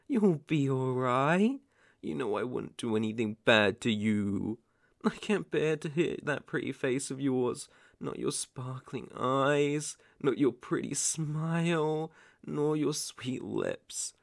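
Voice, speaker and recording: A man's voice: mid-range voice, creaky, no room sound. A young man playing a possessive, unhinged yandere boyfriend in an ASMR roleplay, sugary and menacing, theatrical and coaxing with sudden intense swells, close on the microphone. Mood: cooing, controlling. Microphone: close studio microphone.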